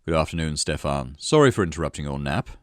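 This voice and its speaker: A man acts out a storybook knight who is in command. His voice is very low and sounds dry and close. He stays polite and controlled, with an impatient edge. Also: lively intonation, fairly quick, no room sound. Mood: unruffled, curt. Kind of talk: acted story dialogue.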